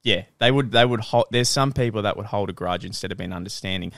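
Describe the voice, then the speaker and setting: A young Australian man co-hosting a casual podcast, swearing freely and getting loud and high when joking, close on a studio mic in a living room. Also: fast, vocal fry, dry recording. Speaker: podcast co-host. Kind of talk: casual chat. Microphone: studio mic on boom arm.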